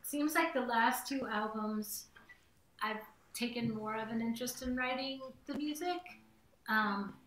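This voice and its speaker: A woman's voice: on the high side, measured pace, a bit bright, roomy sound, low-quality recording. A middle-aged woman, a singer-songwriter, chatting on a video call in a rambling casual way. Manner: chatty, rambling, says "like" often. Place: home room with green wall.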